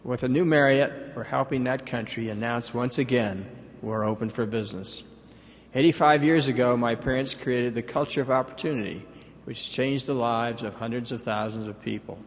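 A man's voice: medium pitch, normal speed, a little hiss or hum. An older man giving a formal, earnest prepared speech as a company executive in a large hall. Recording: reverberant hall.

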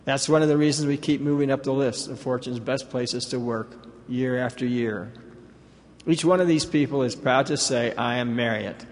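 A man's voice: mid-range voice, normal speed. An older man giving a formal, earnest prepared speech as a company executive in a large hall. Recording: reverberant hall.

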